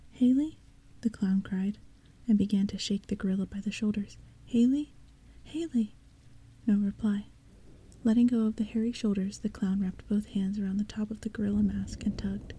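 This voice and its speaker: Woman whispering a story, breathy and dark. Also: medium pitch, rough recording. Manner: soft, hushed whisper throughout. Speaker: audiobook narrator. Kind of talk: whispered audiobook reading.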